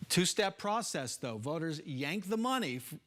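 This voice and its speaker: A man's voice: a little high, big pitch swings, normal speed, fairly bright tone. An American man hosting a broadcast political panel, putting pointed, probing questions to guests. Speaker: TV political show host.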